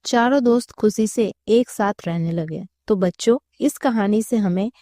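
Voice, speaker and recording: Female storyteller for kids' tales, soft dark tone, very dry close recording. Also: medium pitch, a little slow, no room sound. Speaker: children's storyteller. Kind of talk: scripted story narration.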